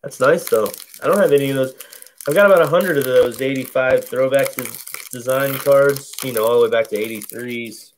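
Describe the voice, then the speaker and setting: A man with a deep, bassy voice calmly calls out each baseball card he opens at a table indoors, in a relaxed running commentary. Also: a little hiss or hum, poor audio. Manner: slow, laid-back play-by-play of each card. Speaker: card break host. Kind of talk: casual card-opening commentary. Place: indoors at a table covered in cards.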